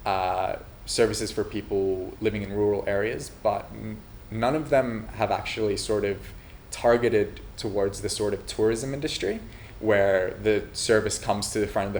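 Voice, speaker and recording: A man from Finland who is also a nursing student, answering interview questions about his startup in a measured, thoughtful way, pausing often with "uh". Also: fairly low pitch, darkish tone, slight background noise. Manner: measured, thoughtful delivery with frequent "uh" pauses. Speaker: entrepreneur pitching a startup idea.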